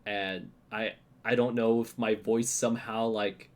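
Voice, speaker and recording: A woman chatting casually on a podcast, relaxed and agreeable, chiming in with "yeah" and "dude". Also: a bass voice, a little slow.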